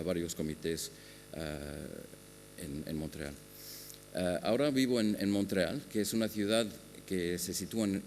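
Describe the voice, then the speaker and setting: A man giving a conference talk on a panel, speaking haltingly with many filler sounds and restarts. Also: low-pitched, darkish tone. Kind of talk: conference presentation. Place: large conference hall. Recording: long hall reverb with faint hum.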